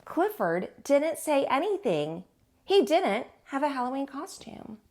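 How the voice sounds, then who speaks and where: A woman hosting storytime, reading aloud to young children with an expressive, teacherly delivery. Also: sits high, measured pace.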